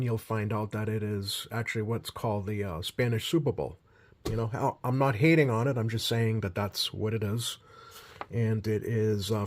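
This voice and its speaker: A man hosting a hobby video with casual, unscripted commentary, talking in a halting, rambling way and often correcting himself. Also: lowish voice. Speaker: host of a radio hobby video.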